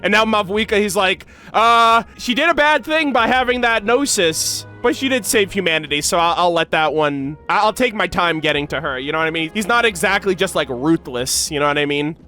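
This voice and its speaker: High-pitched man, casual gaming streamer. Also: animated, fairly quick, hardly any pauses, pushed and bright. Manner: slangy, sweary, informal. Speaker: reaction video host, streamer. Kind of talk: casual, animated gaming reaction commentary. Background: faint music.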